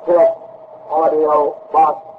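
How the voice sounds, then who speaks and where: A man playing an emotionless, obedient robot assistant in a 1960s radio drama, speaking in halting, clipped, toneless fragments. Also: fairly high pitch, monotone, narrow, tinny audio. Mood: flat, mechanical. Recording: noisy, band-limited vintage radio recording.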